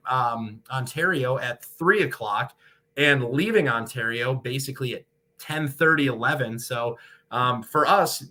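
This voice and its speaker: American guy, sports broadcaster chatting in an interview, bright punchy voice, explains stuff in detail. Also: medium pitch. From Michigan, United States. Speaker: sportscaster, radio play-by-play broadcaster, interview guest. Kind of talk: casual interview talk.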